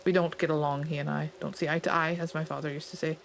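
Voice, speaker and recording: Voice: mid-range voice, little pitch movement, fast, gravelly, slight background noise, natural voice. A player reading aloud the lines of a plainspoken Scottish stable master in a game, in a folksy, understated way. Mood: guarded.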